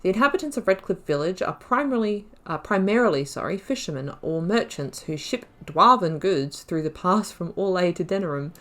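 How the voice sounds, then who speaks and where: A woman's voice: on the low side, lively intonation, brisk, hardly any pauses, fairly bright tone. A woman hosting a gaming video, reading lore aloud and adding informal, self-correcting asides. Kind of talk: reading game lore aloud with casual commentary.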